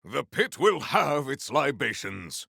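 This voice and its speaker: An actor playing a proud, formal sci-fi warrior in a video game, speaking in a grandiose, deliberate and earnest way. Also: male voice, medium pitch, very animated, creaky, pushed and bright, dry recording, heavily acted. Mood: grand, defiant. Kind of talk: video game character voice lines.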